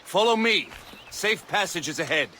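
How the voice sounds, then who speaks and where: An actor with a British accent playing a dignified, courteous middle-aged colonel in a video game, speaking formally and with measure. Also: male voice, high-pitched, expressive, moderate pace, pushed and bright, a little hiss or hum. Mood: courteous, grave.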